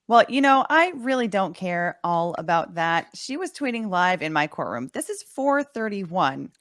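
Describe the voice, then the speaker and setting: A woman's voice: on the low side, big pitch swings, measured pace, fairly bright tone, no echo. Woman playing a blunt judge, dry close sound. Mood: firm, impatient. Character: brisk, no-nonsense female trial judge. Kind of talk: dramatic reading of a court transcript.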